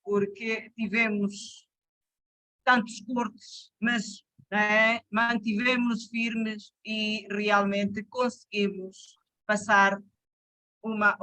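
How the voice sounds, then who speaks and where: A woman's voice: mid-range voice, bright, forceful tone, low-quality recording. A woman hosting an online session as a teacher, effusive in her praise and talking over a video call. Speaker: host and Portuguese teacher.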